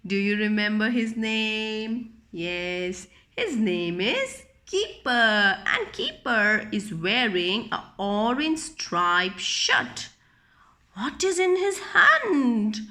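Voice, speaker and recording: A woman's voice: fairly high pitch, pushed and bright. A woman reading a picture book aloud to young children, explaining it simply with questions and repetition. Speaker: children's storyteller.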